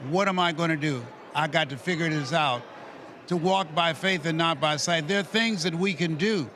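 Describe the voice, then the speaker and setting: Elderly man, eighty, bright forceful voice, fairly high pitch, preacherly, encouraging, aphoristic, motivational conversation. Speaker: motivational speaker, interview guest.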